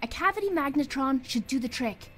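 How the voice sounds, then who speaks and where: A woman voicing a brisk, businesslike scientist in a game. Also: sits high, lively intonation, fairly bright tone. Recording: game audio with faint music.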